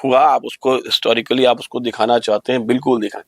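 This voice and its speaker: A man's voice: mid-range voice, level tone, fast talker, creaky, dry recording, studio quality. A man with an Indian accent hosting an opinionated podcast discussion, speaking emphatically with rhetorical questions and slipping into English. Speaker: podcast host.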